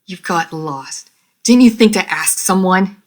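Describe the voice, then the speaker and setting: A woman voicing a refined, proud lady vampire who is easily flustered, speaking formally and with measured care in an audio roleplay. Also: fairly low pitch, lightly acted. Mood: indignant. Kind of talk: scripted audio roleplay addressed to the listener.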